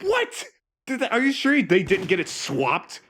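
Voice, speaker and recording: Very animated man, incredulous game commentary. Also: sits high, measured pace, slight background noise. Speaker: let's play co-commentator. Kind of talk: casual chat, joking game commentary. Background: game music.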